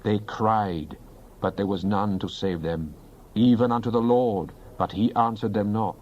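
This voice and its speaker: A man's voice: lowish voice, steady tone, measured pace, darkish tone, muffled recording, slight background noise. A man giving a dramatized Bible reading in a solemn, declamatory manner. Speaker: scripture reader.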